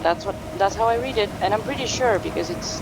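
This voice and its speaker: An actor playing a helpful translator in a naturalistic audio drama, explaining things in a tentative, conversational way. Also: male voice, high-pitched, a little fast, background noise. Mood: explanatory, tentative. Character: helpful Slavic-language speaker puzzling out an inscription. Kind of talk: naturalistic fiction podcast acting, conversational.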